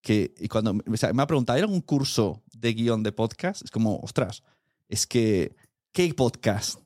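A man's voice: on the low side, expressive, normal speed, a little mellow, no room sound, studio quality. A man with an accent from Spain, talking as a podcast host in an informal, colloquial and jokey way. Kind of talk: casual interview chat.

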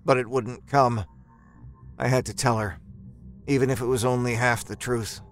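A man reading a first-person horror story as a storyteller, in a measured, expressive and dramatic way. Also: medium pitch, soft background music. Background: musical score.

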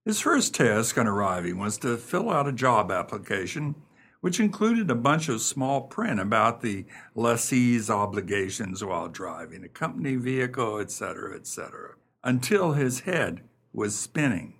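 A man hosting a radio theater show, delivering a scripted introduction and storytelling narration in a husky voice. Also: mid-range voice, big pitch swings, moderate pace, a bit bright. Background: music.

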